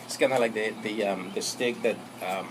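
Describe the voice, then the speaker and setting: Rambling man over loud noise. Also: lowish voice, moderate pace. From El Salvador. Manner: rambling, many fillers and self-corrections. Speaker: vlogger. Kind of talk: casual vlog explainer.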